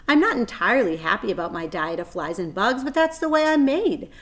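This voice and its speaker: A woman's voice: mid-range voice, sing-song, fairly quick, a bit bright, voice pushed up. A woman voicing a clever, kindly female spider, articulate and matter-of-fact, with a pleasant thin voice.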